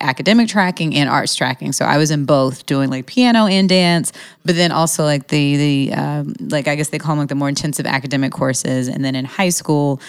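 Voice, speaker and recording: A woman's voice: low voice, smooth. A woman from Alabama chatting casually as a podcast guest, talkative and thinking aloud as she answers. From Alabama, United States. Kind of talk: casual conversational interview answers.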